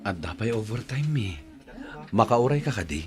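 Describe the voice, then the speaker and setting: A fairly low-voiced young Ilocano man acted by a male performer in a radio drama. He is the hard-working boyfriend, apologetic and coaxing as he tries to placate. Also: animated, moderate pace, close to an everyday voice. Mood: placating. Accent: Ilocano, northern Philippines.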